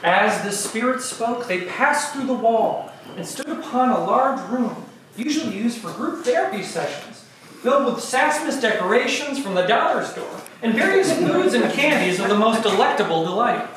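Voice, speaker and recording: A man's voice: extremely high pitch, animated, moderate pace, a bit bright. A man reading scripted narration for an amateur dramatic play reading. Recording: very echoey room, distant mic, faint noise.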